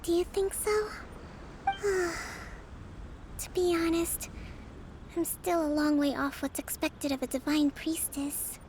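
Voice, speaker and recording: A woman voicing a young female divine priestess who leads an army, composed and authoritative in a measured way. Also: very high-pitched, little pitch movement, background noise. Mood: soft reflective. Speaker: commander. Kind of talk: video game voice acting. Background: game music.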